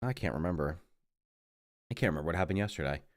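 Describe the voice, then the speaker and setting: A man's voice: sits low, expressive, fast talker, no echo, studio quality. A middle-aged man livestreaming a game who sounds congested and rambles in casual chat, often correcting himself mid-sentence. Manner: rambling, self-correcting, mutters while busy. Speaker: livestreaming gamer. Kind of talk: casual live stream chat.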